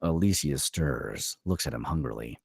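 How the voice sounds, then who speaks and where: Super deep guy reading screenplay directions, dramatic narration, lots of up and down in pitch. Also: expressive, moderate pace, gravelly, slightly rough, no echo, clean studio sound. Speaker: stage directions reader.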